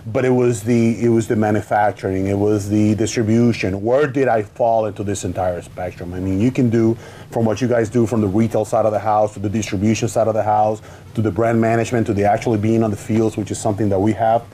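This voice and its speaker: A man's voice: on the low side, steady tone, warm tone, a little hiss or hum. A man in his thirties from the Dominican Republic, a company founder talking casually on a studio panel through a lapel mic, often restarting his sentences. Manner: halting, restarts often, eager. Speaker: cigar company founder, guest. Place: studio set with wood shelves, indoors.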